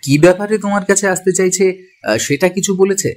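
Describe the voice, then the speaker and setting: A man as the higher-pitched companion of a detective. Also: fairly quick, lightly acted. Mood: curious, sceptical. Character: detective's male companion narrating, curious and sceptical. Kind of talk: first-person story narration and drama dialogue.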